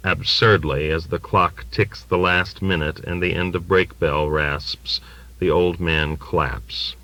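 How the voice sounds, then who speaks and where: A man's voice: low-pitched, steady tone, slow pace, sharp tone, poor audio. A man reading a literary novel aloud as scripted narration for an audiobook. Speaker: audiobook reader. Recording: dry audiobook recording from cassette.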